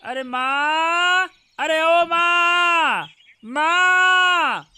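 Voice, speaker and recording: Man acting a sharp, insistent milkman son. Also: very high voice, very slow, no echo, exaggerated voice. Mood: calling out. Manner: insistent, exasperated. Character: hard-working, nagging grown son, a milkman. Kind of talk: Hindi cartoon moral-story dubbing.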